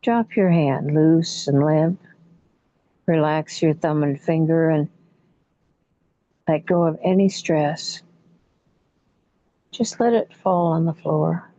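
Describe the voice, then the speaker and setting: Female meditation guide, very slow and soothing, mellow tone, slightly muffled dry recording. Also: sits low, no room sound. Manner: soothing, unhurried, with long pauses between short phrases. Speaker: guided imagery facilitator. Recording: muffled, dull lo-fi audio.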